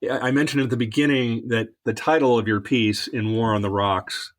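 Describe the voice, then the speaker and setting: A man hosting a conversational interview, phrasing his questions and reflections in a measured, thoughtful way. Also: mid-range voice, fairly even intonation. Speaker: podcast host.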